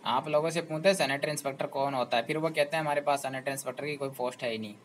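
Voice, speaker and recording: Male channel host, persuasive explainer fond of rhetorical questions, mid-pitched voice, slight background hiss. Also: very fast. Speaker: job information channel host. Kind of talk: explanatory talk to students.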